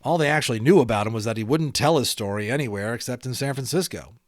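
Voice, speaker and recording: A man's voice: medium pitch, fast, no echo, clean studio sound. A man narrating a children's audiobook expressively, with dramatic emphasis and chatty asides to listeners.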